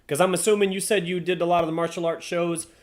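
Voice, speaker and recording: A man hosting a podcast leads the interview with loose, easygoing questions, often pausing on fillers. His voice sits a little higher than most men's. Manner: relaxed, asks questions, frequent fillers. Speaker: podcast host. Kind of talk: casual interview chat.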